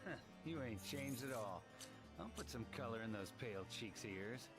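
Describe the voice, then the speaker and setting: An actor playing a cocky, taunting, rough-spoken male fighter in a video game. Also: medium pitch, lively intonation, moderate pace, over music, lightly acted.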